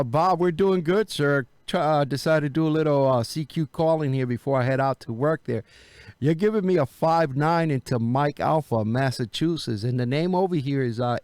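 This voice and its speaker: A man's voice: medium pitch, normal speed, a little mellow. A man who is an amateur radio operator, chatting casually and making radio calls. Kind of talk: casual chat and radio calling with phonetic alphabet.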